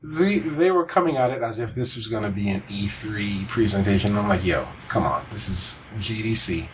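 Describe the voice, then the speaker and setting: Lowish-voiced man, casual and expressive. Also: moderate pace, slight background noise, poor audio. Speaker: podcast co-host. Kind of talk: casual chat about video game news.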